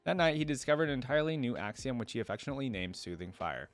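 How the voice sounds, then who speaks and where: A man's voice: mid-range voice, big pitch swings, rapid-fire. A man hosting a reaction video, reading story text aloud and commenting in an informal, rambling, conversational way. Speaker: YouTube reaction video host.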